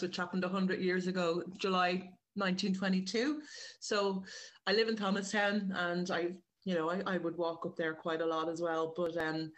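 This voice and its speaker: A woman's voice: on the low side, fairly bright tone. An Irish woman chatting as an interview guest, explaining her work in a wandering, self-correcting way. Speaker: writer and director, interview guest. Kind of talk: casual interview chat.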